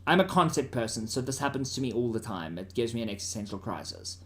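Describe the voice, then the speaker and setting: A man's voice: medium pitch, big pitch swings, quick pace. A man with a South African accent teaching students in an informal, conversational way, often checking that they follow. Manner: informal, checks listeners' understanding.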